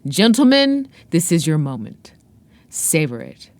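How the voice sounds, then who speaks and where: A woman's voice: sits low, big pitch swings, measured pace, dry recording, full character voice. A woman voicing a commanding, glamorous female propagandist in a novel, exhorting listeners in a grandiose, dramatic reading style. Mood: fervent, rousing. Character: tall, glamorous, commanding young woman in army fatigues, fervent propagandist.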